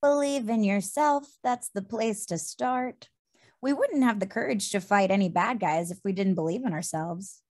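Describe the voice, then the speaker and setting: A woman playing an earnest, encouraging young adventurer, sincere and steady, in an animated fantasy table read. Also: medium pitch, normal speed, natural voice.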